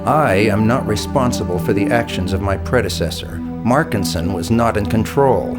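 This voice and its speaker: A man's voice: on the low side, moderate pace, over loud music, close to an everyday voice. A man playing a stern, defensive agency director in a video game cutscene, firm and matter-of-fact.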